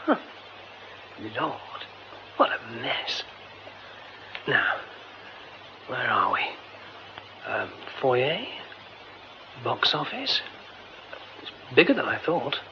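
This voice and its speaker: A man's voice: mid-range voice, sing-song, extremely slow, pauses often. An actor playing a young man poking around a derelict theatre, muttering to himself as he goes and crying out for help when he falls into a pit beneath the stage. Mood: musing. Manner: muttering to himself, then panicked shouting. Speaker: radio drama lead. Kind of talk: radio drama acting. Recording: muffled old radio play with hiss.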